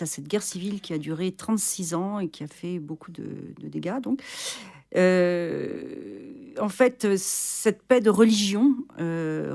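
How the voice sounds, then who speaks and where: A woman with a lowish voice explains a subject on a radio show. She works from her notes, pausing often with hesitant fillers as she goes, speaking from a studio. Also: a little mellow. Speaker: radio co-presenter. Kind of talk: casual radio chat.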